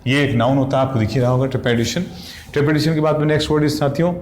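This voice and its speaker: A man's voice: mid-range voice, a little mellow. An English vocabulary teacher, spelling words out letter by letter and checking in with his students constantly, correcting himself as he goes. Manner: brisk and chatty with frequent self-corrections. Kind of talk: exam-prep vocabulary lesson. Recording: echoey room, faint ambient noise.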